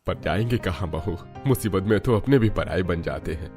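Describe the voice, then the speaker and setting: A meek, worried older father-in-law in a cartoon drama. His voice is deep and weary, pleading and doubtful, with quiet music behind it. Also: animated, normal speed. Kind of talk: Hindi cartoon story voice acting.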